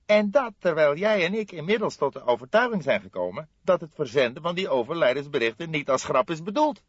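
A man's voice: on the high side, big pitch swings, a little fast, breathy edge, no echo, lo-fi sound, voice pushed up. A man voicing an earnest young police detective sidekick in an audiobook, questioning and easily indignant in manner. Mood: eager reasoning. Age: young adult. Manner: questioning, reproachful, eager. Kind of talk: audiobook character acting.

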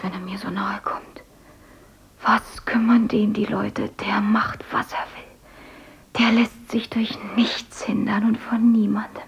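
An actress playing a timid young foster daughter who is secretly in love and often whispers, in a radio play. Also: female voice, a little high, creaky, husky, full character voice. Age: young adult.

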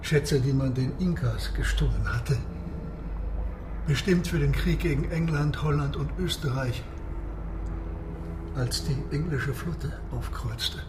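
An actor playing a composed, knowledgeable submarine captain, explaining formally and deliberately in a radio drama. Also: male voice, mid-range voice, close to an everyday voice. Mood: grave, explanatory. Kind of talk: German radio drama dialogue. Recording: noisy ambient sound behind voice.

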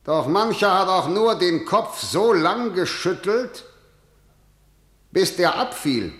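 A man's voice: sits high, animated, slow pace, fairly bright tone, full character voice. A man playing a stern, imperious ruler in a German verse radio drama, declaiming harsh law with commanding authority. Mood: menacing authority. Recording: echoey hall with long reverb.

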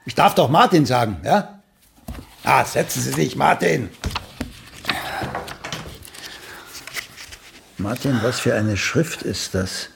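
Gravelly, condescending old playwright. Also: male voice, medium pitch, lively intonation, measured pace, background noise. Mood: testy, fussy. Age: older. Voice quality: raspy, gravelly. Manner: pedantic, drawling, dryly cutting. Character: aging, blunt, condescending celebrated male playwright and mentor. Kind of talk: German radio play acting.

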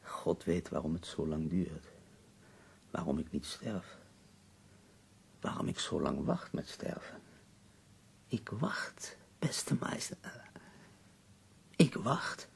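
Sick old-world poet, very low voice, husky, sardonic, death-weary, theatrical pauses, radio drama. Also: male voice, sing-song, in a whisper, heavily acted. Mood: weary, melancholy. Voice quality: husky, gravelly. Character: ailing, bedridden male poet in Paris, wry and death-weary.

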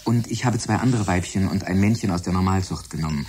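Casting: man playing eccentric scientist, low voice, precise and absorbed, radio drama over faint sizzling ambience. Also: quick pace, darkish tone, a little hiss or hum, natural voice. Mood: businesslike. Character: eccentric, fussy male laboratory scientist, absorbed and polite. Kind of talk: German radio drama acting.

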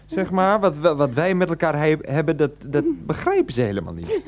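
A man's voice: a little high, wildly swooping pitch, moderate pace. An actor playing an evasive, placating married man in a radio comedy, hesitant and stammering when cornered. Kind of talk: 1990s radio comedy drama acting.

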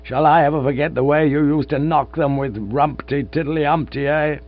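A man voicing an old, once-gruff stage veteran, hearty and cheerful, with a husky voice on a dull recording with hum. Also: a little high, fairly even intonation, normal speed, voice pushed up, natural voice. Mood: wistful. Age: older. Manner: hearty, cheerful, a bit blustering.

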